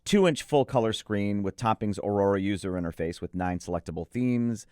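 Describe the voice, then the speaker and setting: A man's voice: on the low side, normal speed, a little mellow, clean tone, dry recording, clean studio sound. A man co-hosting an audio gear preview, chatting enthusiastically and emphatically about products. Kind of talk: enthusiastic product review chat.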